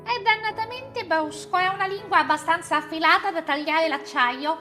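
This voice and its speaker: A woman's voice: extremely high pitch, moderate pace, pushed and bright, soft background music. A woman voicing a polite, earnest shop owner who pleads kindly in a live visual novel reading.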